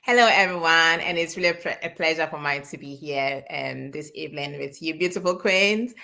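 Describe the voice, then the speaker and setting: Woman from Ghana, low and bright, earnest panel speaker who stresses her points by repeating them. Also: fairly quick, gravelly. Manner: earnest, encouraging, repeats for emphasis. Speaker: guest speaker. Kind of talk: live online panel talk.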